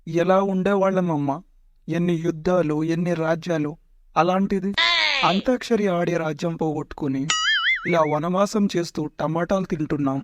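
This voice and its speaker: A man's voice: a little high, normal speed, close to an everyday voice. Cartoon parody: male actor as long-suffering old retainer, mock-tragic, upper male register. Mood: mock-tragic lament. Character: long-suffering old royal servant, loyal, lamenting and theatrical. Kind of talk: Telugu parody cartoon dubbing.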